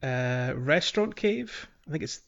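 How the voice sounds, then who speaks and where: A man co-hosting a podcast, explaining a video game in a casual, halting way. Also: medium pitch, expressive, creaky, lo-fi sound. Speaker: podcast co-host.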